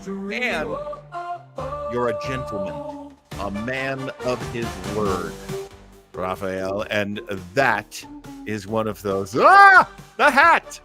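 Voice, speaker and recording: A man's voice: lowish voice, huge pitch swings, drawn-out words, pauses often, over music. A man hosting a live stream about old-time radio, chatting in a rambling, enthusiastic, theatrical way. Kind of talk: casual live stream chat.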